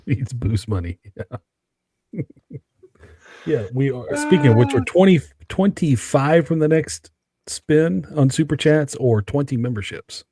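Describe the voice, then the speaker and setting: A man's voice: mid-range voice, lively intonation, a little slow, warm tone, slight background noise. A man hosting a casual livestream, reading out chat comments between laughs. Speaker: livestream host.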